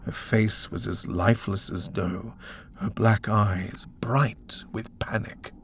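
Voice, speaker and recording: Low, gravelly male narrator. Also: fairly even intonation, slow, in a whisper. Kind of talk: dramatic horror audiobook narration.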